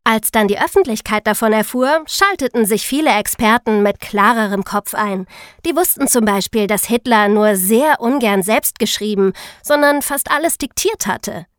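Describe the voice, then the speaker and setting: A woman's voice: a little high, moderate pace, dry recording, natural voice. An actress as a young female true-crime explainer, dry sound. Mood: explanatory. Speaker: podcast co-host telling true-crime history. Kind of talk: scripted conversational narration.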